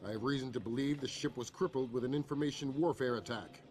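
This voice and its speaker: A man playing a measured, authoritative intelligence chief in a video game cutscene. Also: medium pitch, darkish tone, close to an everyday voice. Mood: calm, firm. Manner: measured, assured.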